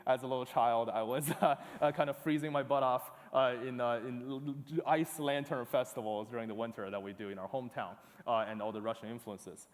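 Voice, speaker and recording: A young man from northeast China, a conference speaker giving a talk with slides, halting and full of uh fillers and restarts. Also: mid-range voice, steady tone. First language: Chinese. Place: large auditorium. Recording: long hall reverb.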